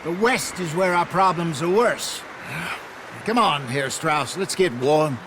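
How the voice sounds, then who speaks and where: An actor playing a weary but composed outlaw gang leader in a game cutscene, measured and courteous. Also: male voice, a little high. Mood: weary resolve. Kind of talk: video game cutscene acting. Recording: noisy outdoor ambience behind voice.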